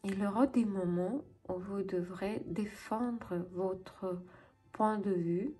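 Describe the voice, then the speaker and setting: A woman with a Ukrainian accent giving a tarot reading to viewers as host, in deliberate, halting phrases. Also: mid-range voice, dark tone, faint music behind. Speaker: tarot reader and channel host.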